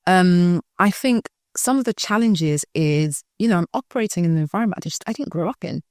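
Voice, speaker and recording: British woman, school founder, reflective and conversational, low-pitched, dry recording. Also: expressive, brisk, darkish tone, no echo. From United Kingdom. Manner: reflective, often checks in with the listener. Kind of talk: conversational interview answer.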